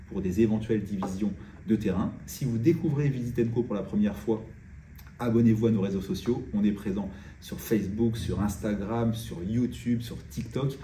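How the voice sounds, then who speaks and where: A man's voice: on the low side, dark tone. A man giving an informal explainer as a real estate agent presenting a video. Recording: roomy echo, distant mic, faint noise.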